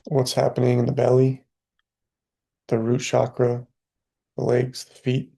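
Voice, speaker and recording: A man guides a meditation in a very slow, almost monotone voice, his words set apart by long pauses. His tone is calm and unhurried, with a creaky, gravelly edge at the bottom of the voice. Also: mid-range voice. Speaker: meditation teacher.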